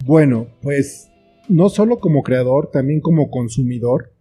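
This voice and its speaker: A man's voice: medium pitch, expressive, unhurried, slightly rough. A middle-aged man with a Mexican accent speaking reflectively as a guest in a conversational interview. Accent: Mexican Spanish. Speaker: interview guest, writer and filmmaker. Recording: faint guitar music behind. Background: soft plucked guitar music.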